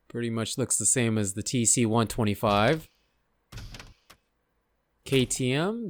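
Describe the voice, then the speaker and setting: A man casually talking over game footage, rambling and unsure of himself, a little hoarse from losing his voice. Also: medium pitch, no echo, studio quality. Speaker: gaming channel host. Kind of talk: casual gameplay commentary.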